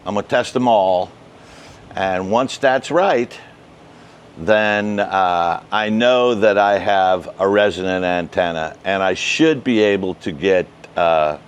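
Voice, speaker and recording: A man's voice: sits low, takes it slowly, smooth. An older man explaining things casually and step by step to camera, outdoors on a lapel mic. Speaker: ham radio vlog host. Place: outdoors in a suburban backyard by patio and shed. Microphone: wireless lapel mic clipped to shirt.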